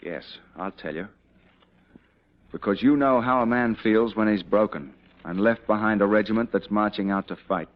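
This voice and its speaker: An actor playing a confident cavalry commander and devoted husband, in mid-century dramatic style. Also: male voice, lowish voice, normal speed, close to an everyday voice. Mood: fiery, insistent. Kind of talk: mid-20th-century American dramatic acting. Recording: dull old radio-era drama recording.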